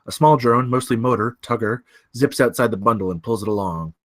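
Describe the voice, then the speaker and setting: A man with a mid-pitched voice serves as narrator at a table read. He reads out screenplay stage directions and scene headings. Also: a little fast.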